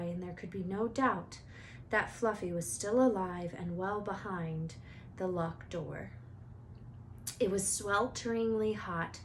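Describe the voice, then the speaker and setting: A woman narrates a storybook aloud in an expressive way. Her voice is of middling pitch. There is faint noise in the background of the recording.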